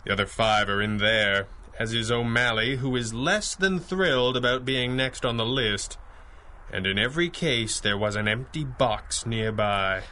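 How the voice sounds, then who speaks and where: Male for masked crime fighter, old-time radio serial. Earnest, courteous, brainy, with a sharp, forceful edge. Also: mid-range voice, a little hiss or hum. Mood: grim, brooding. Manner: crisp, earnest, formal, deliberate phrasing. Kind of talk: 1930s-style radio superhero drama acting.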